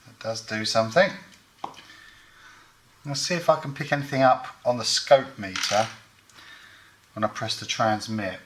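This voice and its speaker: A man's voice: fairly low pitch, measured pace, pauses often, fairly bright tone, a little hiss or hum. A man giving hands-on repair commentary as host, thinking aloud in short, matter-of-fact phrases.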